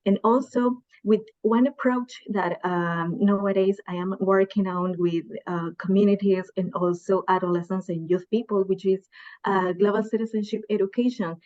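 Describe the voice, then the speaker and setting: A woman's voice: medium pitch, steady tone, moderate pace, a little mellow, muffled recording. A woman in her twenties with a Peruvian Spanish accent, speaking on a panel, hesitant and searching for words with many restarts. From Peru. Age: 20s. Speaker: panelist, development communicator. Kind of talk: panel discussion.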